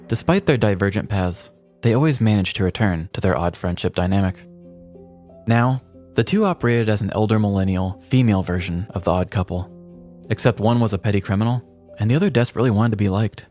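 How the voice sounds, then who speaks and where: Low-voiced man narrating a comic story. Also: fairly quick, a little mellow, soft background music. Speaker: podcast narrator. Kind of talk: scripted comedic story narration.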